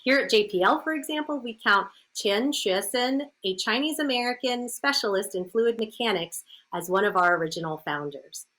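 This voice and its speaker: A woman's voice: a little high, animated, normal speed, hardly any pauses, fairly bright tone. A woman presenting scripted remarks as the host of a broadcast. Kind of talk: scripted presenting to a live-stream audience.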